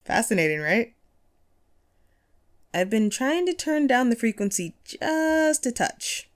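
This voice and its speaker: A woman's voice: fairly high pitch, big pitch swings, slow. A woman playing a chatty, upbeat ghost of a radio host and ghost hunter in an audio roleplay, playful and musing in manner. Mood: chatty, enthusiastic. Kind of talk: scripted one-sided audio roleplay, conversational.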